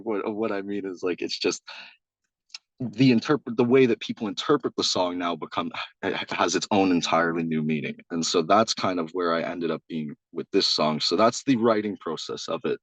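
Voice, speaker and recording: A young man, a singer-songwriter guest, talking loosely in a casual interview, with a loud voice and lots of restarts and "like.". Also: sits low, no room sound, poor audio. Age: young adult. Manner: rambling, many restarts and "like".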